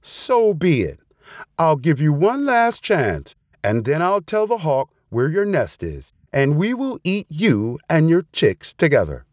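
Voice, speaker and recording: A man's voice: on the high side, huge pitch swings, measured pace, darkish tone, no room sound, studio quality, pitched above the performer's own voice, full character voice. A man voicing a wily, haughty female fox who bullies and schemes, in a storybook tale. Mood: angry, menacing. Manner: haughty, bullying. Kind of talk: storybook character voice.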